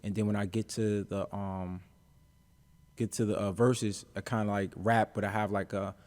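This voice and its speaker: A young man chats casually as an interview guest, his voice sitting a little low. He talks in a relaxed, unhurried way, filling pauses with ums and uhs as he gathers his thoughts. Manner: laid-back, easygoing, many fillers like um and uh.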